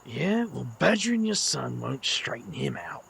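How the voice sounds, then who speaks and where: A man's voice: mid-range voice, sing-song, unhurried, creaky, a bit bright, breathy edge, heavily acted. A man playing an earnest, defensive young magician in an audio drama, plain-spoken and a little hesitant. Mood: wry, uneasy.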